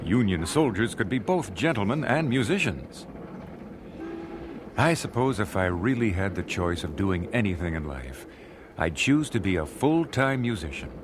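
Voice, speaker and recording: An actor playing a thoughtful, genteel American singer recalling his life in a radio drama, measured and well-spoken. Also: lowish voice. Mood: nostalgic. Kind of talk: radio drama first-person narration. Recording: noisy old radio drama recording.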